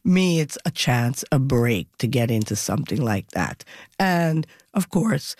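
A woman's voice: a bass voice, measured pace, no room sound. A middle-aged woman from Montreal with a Jamaican accent, telling stories as an interview guest and acting out what people said. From Montreal, Canada. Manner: lively storyteller, acts out quotes, self-corrects names. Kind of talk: casual storytelling interview.

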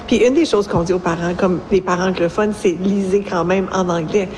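A woman's voice: on the low side, brisk, darkish tone. A woman informally explaining things as an educator who advises parents. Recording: hall reverb, faint background noise.

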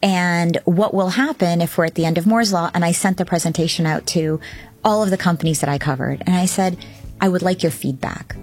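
A woman analyst answering in an interview, in a thoughtful, halting way. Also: lowish voice, darkish tone, soft background music.

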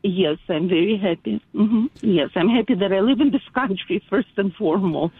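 A mature woman from Ukraine with an Eastern European accent, calling a radio show by phone, polite and halting in her speech. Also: mid-range voice, slightly rough, no room sound. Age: middle-aged or older. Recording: tinny, dry phone line.

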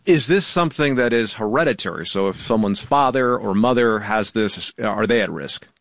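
A man's voice: lowish voice, expressive, normal speed, gravelly, fairly bright tone. A man hosting a radio news interview, putting questions to a guest.

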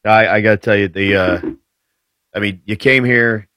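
A man's voice: on the low side, fairly even intonation, normal speed, sharp tone, dry recording, clean studio sound. A man in his forties co-hosting a casual radio talk show, speaking in an earnest, folksy way. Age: 40s. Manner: earnest, folksy, hesitant fillers. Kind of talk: casual talk radio chat, event promo.

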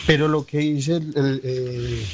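A man's voice: medium pitch, fairly even intonation, slow pace. A man with a Colombian accent calling into a radio show, earnest and repeating his points for emphasis. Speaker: caller. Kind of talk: phone-in comment. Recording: noisy phone call.

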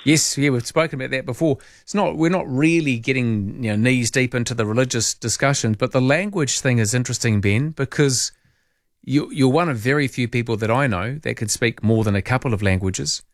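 A talkative New Zealand man hosts an overnight talkback show. His voice sits at an ordinary middle pitch and carries plenty of quick asides and jokes. The studio sound is close and dry, with no room in it. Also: dry recording. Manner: chatty, jokey, thinks aloud with quick asides. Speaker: talkback radio host. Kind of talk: late-night talkback radio chat. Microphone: studio microphone.

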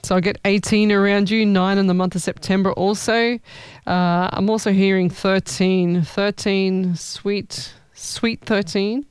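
A woman's voice: mid-range voice, fairly even intonation, unhurried, hardly any pauses, a little mellow, clear, resonant tone, no room sound. A woman hosting a casual live chat as a psychic medium, talking in an informal, exclaiming way.

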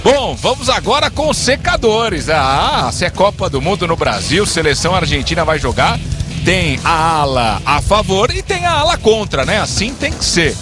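A man anchoring a sports broadcast, lively and joking. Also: high voice, normal speed, music in the background. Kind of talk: live broadcast banter.

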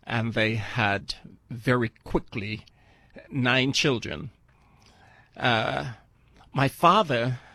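An older man, telling his personal story earnestly and deliberately as an interview guest, repeating phrases for emphasis. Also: mid-range voice, bright, forceful tone, no echo. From Barbados.

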